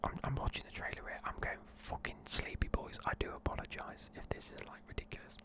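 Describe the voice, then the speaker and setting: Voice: fast, bright, forceful tone. A tired, sleepy speaker, whispering a rambling film review close to the mic in the style of an ASMR video. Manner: rambling, loose, lots of fillers. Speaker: YouTuber reviewing films.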